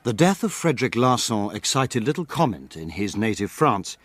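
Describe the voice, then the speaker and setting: An actor playing an urbane gentleman who narrates his friend's cases in a radio drama, measured and literary. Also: fairly low pitch, sing-song. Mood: reflective.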